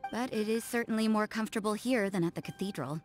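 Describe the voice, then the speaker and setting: A woman's voice: mid-range voice, quick pace, a little mellow, close to an everyday voice. A woman voicing a cool, world-weary young nun in an English video game, speaking in a dry, detached, languid way. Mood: sleepy, indifferent. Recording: game music under the voice.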